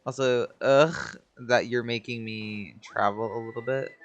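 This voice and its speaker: Female let's play host: very deep for a woman, informal and slangy, faint game music beneath. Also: steady tone, unhurried. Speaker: game streamer. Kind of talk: casual let's play reaction commentary.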